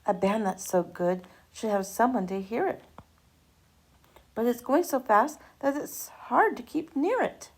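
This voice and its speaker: A woman reading a rhyming children's picture book aloud with animated, dramatic emphasis and drawn-out words. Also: on the high side, husky.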